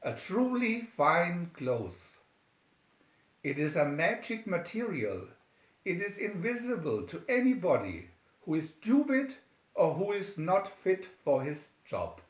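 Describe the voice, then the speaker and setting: A man's voice: high voice, huge pitch swings, slow, pitched above the performer's own voice, heavily acted. A man voicing a sly storybook swindler posing as a weaver, with showy, ingratiating sales patter. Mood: boastful. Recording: roomy, dull lo-fi home recording.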